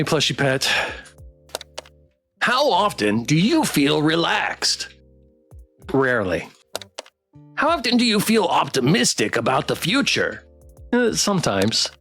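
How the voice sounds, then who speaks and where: A man hosting a casual game livestream, reading text aloud and chatting with playful, expressive reactions. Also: a little high, unhurried, long pauses, soft background music. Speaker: game streamer and host.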